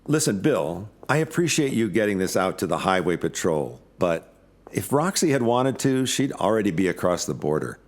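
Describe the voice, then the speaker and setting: An actor playing a laid-back, wry American lawyer and amateur sleuth in an audio drama, talking in a casual, understated way. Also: male voice, low-pitched, very animated, normal speed. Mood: thoughtful, skeptical. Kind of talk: audio drama dialogue and narration.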